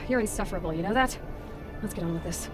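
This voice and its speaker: A woman's voice: mid-range voice, fairly quick, over music, close to an everyday voice. An actress playing a sardonic, defiant young woman adventurer in a video game, speaking in a wry, blunt, impatient way.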